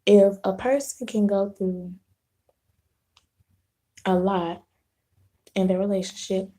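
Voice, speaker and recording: Casting: hoarse woman vlogger, slow easy delivery, mellow dark tone, casual live chat. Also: mid-range voice, flat delivery. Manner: unhurried, rambling, clears throat, frequent restarts. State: hoarse, losing her voice, sore throat. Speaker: vlogger hosting a live video.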